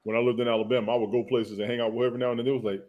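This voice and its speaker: A man's voice: medium pitch, level tone, rapid-fire, highs cut off. Laid-back, slangy male co-host. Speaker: podcast co-host. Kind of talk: casual comedic banter.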